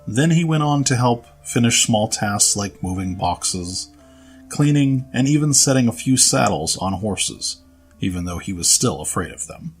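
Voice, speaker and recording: A man narrates a scripted audio drama story. His voice is on the low side, and soft music sits behind the narration. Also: animated, measured pace, husky.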